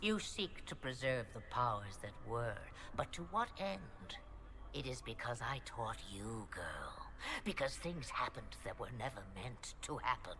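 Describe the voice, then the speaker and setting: A woman playing an ancient, cryptic witch mother in a video game, speaking in a deliberate, theatrical and ominous way. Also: very deep voice, very animated, fairly bright tone, a little hiss or hum, heavily acted. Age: older. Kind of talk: dramatic video game acting.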